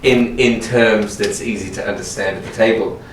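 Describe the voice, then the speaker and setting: A man's voice: fairly low pitch, fairly even intonation, normal speed. A jokey, sweary man bantering casually as a player at a tabletop role-playing game. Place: echoey room, live-streamed game session. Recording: roomy, distant mic, noisy background.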